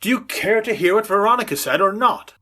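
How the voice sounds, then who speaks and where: Bright-voiced, flustered radio sitcom dad, played by a man. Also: high voice, expressive, a little fast, heavily acted. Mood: impatient. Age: middle-aged. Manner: stammering, harried. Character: flustered, henpecked suburban father, easily exasperated. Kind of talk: 1940s radio sitcom acting.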